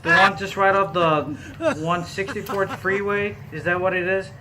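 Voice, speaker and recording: A man's voice: high voice, fairly even intonation, normal speed, very noisy. A man improvising prank phone calls, play-acting with a hesitant, stammering delivery. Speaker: prank caller.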